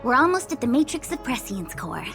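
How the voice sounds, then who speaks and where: An actress playing a breezy young slacker woman, a playful guide in a video game, with an expressive, theatrical manner. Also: fairly high pitch, brisk. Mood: chatty, explaining. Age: young adult. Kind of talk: animated video game voice acting. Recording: game music behind the voice. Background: background music.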